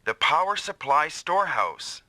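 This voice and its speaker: Bright, forceful military operator. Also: male voice, a little high, very animated, a little slow, heavily acted. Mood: businesslike. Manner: crisp briefing delivery. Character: dutiful, earnest military operator, man. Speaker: operations and communications officer. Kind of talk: anime-style video game voice acting.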